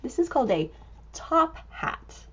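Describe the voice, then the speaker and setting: A woman reading a picture book aloud to young children in an expressive, exclaiming way, drawing out words and dropping into hushed asides. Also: sits high, a little slow, dull audio.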